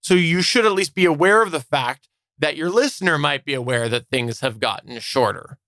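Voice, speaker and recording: A man's voice: a little high, normal speed, bright, forceful tone, no echo, studio quality. A man co-hosting a podcast, explaining advice in a semi-scripted, instructional style. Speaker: podcast co-host.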